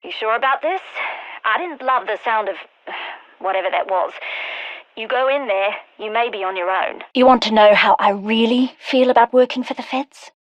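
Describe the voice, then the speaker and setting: A woman voicing a sharp-witted, driven scientist in a video game, with a wry, intense, articulate delivery. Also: a little high, moderate pace, lightly acted, rough recording. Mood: bitter. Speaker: game character voice lines. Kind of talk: scripted video game voice acting.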